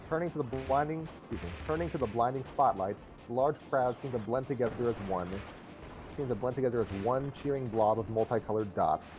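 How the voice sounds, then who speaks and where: A man's voice: mid-range voice, brisk, low-quality recording. A man reading a comic story aloud as narrator on a live stream, sometimes repeating phrases, with music behind him. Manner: stumbles over and re-reads phrases.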